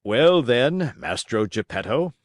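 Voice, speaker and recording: A man voicing a surly, weary old carpenter in a storybook, with drawn-out delivery. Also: medium pitch, big pitch swings, no echo, studio quality. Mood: gruff.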